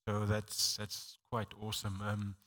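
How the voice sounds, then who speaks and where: A South African man leading a church service, talking with halting, stammering restarts. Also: fairly low pitch, monotone, bright, forceful tone. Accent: South African English. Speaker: pastor. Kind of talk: sermon-like church talk.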